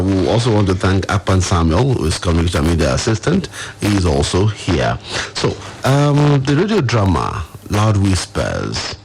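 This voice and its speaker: A man's voice: low voice, a little hiss or hum, low-quality recording. A Nigerian man hosting a live radio talk show from a studio, speaking conversationally and putting questions straight to his listeners. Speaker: radio host.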